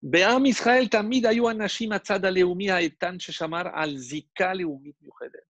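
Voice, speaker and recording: A man's voice: high voice, normal speed, fairly bright tone, no echo. A man, a rabbi whose first language is not French, giving an emphatic Torah class and calling out to his listeners. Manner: emphatic, addresses listeners directly.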